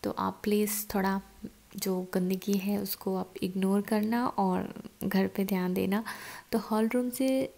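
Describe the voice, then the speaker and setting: Chatty young woman with a gravelly edge. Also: medium pitch, steady tone, measured pace, mellow. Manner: chatty, explaining as she walks through rooms. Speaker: vlogger. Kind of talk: casual home tour vlog. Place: empty house with bare rooms, indoors. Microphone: phone camera, at arm's length then handheld while walking.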